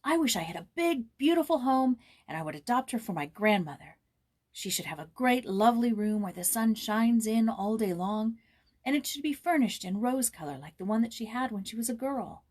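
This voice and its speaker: A woman's voice: on the high side, animated, moderate pace, a little mellow. Woman voicing an eager, tender-hearted young girl. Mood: wistful, eager. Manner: impulsive, earnest, gushing.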